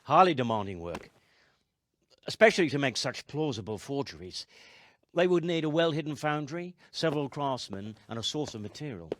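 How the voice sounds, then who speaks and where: An actor playing a learned coin expert in a video game cutscene, speaking formally and hesitantly. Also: male voice, medium pitch, sing-song, moderate pace, a bit bright. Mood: nervous. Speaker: coin master.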